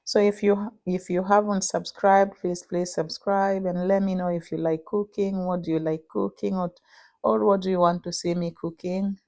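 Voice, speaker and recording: A young woman with a Kenyan accent talking through her cooking in a casual vlog, pausing often with "uh". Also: lowish voice, flat delivery, mellow, dry recording. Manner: unhurried, many "uh" pauses while cooking. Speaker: vlogger.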